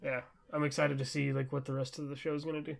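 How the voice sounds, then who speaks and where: A man's voice: medium pitch, flat delivery, fast, creaky. A young adult man co-hosting a podcast, chatting casually in an enthusiastic, rambling way. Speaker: podcast co-host. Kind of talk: casual chat about shows and anime.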